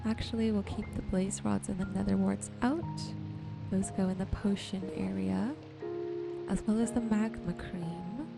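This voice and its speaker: A woman softly and gently narrating her gameplay in an ASMR style, musing to herself as she goes. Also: medium pitch, steady tone, unhurried, creaky, loud music behind the voice. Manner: soft-spoken, gentle musing to herself while sorting. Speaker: gaming video host.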